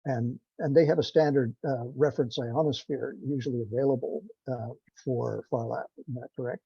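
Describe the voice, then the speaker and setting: A man's voice: mid-range voice, steady tone, moderate pace, creaky, mellow, breathy edge, no echo. A man talking informally in a technical teleconference, hesitant, rambling and self-deprecating, over a call connection. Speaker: non-scientist project participant in a group technical call. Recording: dull lo-fi conference call, dry.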